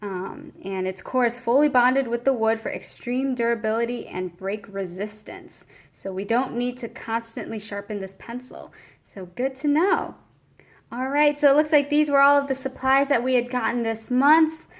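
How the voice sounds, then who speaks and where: A woman's voice: fairly high pitch, moderate pace. A young woman casually talking through an art supply unboxing, with frequent ums as she reads out product details.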